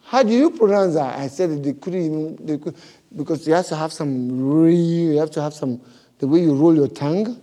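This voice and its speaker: Animated pastor with a non-native English accent. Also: male voice, a little high, moderate pace. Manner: animated, laughing storyteller, big swings in loudness. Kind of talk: sermon.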